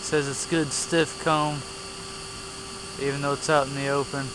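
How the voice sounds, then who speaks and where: Man, flat delivery, casual outdoor commentary, handheld camera mic, noisy hum in background. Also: fairly high pitch, a little slow. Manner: plain, matter-of-fact play-by-play. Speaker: camera operator narrating a bee removal. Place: outdoors under trees at a bee removal. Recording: camcorder audio with clear hum and background noise.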